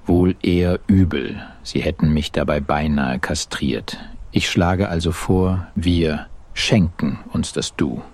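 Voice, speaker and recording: An actor playing a grouchy, stiffly formal male expedition member with a husky voice in satirical radio drama. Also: rumbling low, slow, a little mellow, heavily acted. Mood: dry reproach.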